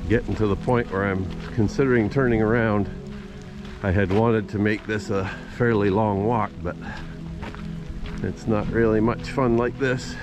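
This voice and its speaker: Older guy, lowish voice, chatting outdoors on a walk, kinda understated, music in the background. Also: steady tone, measured pace. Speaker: vlogger. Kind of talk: casual outdoor walking narration. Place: outdoors on a rural trail in rain. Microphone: handheld camera at arm's length.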